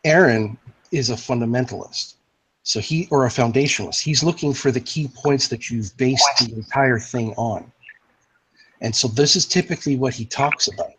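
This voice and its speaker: A man's voice: medium pitch, normal speed, fairly bright tone, slight background noise, poor audio. A man moderating an online voice-chat discussion, explaining in long philosophical sentences.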